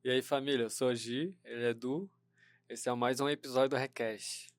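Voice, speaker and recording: A man's voice: medium pitch, fairly even intonation, a little fast. A man hosting a podcast interview, opening the show in an upbeat tone and asking short friendly questions. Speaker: podcast host.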